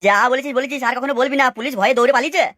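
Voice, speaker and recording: A woman plays a haughty young landlord's son in a comic cartoon. The voice is high and extremely fast, boastful and scolding, with an absurd sense of its own importance. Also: fairly bright tone, no echo, clean studio sound. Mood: haughty, indignant.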